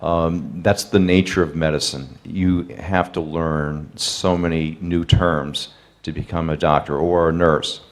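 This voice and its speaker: Low voiced professor guy on a zoom webinar, calm and explaining. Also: unhurried. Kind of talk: webinar answer. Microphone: video call.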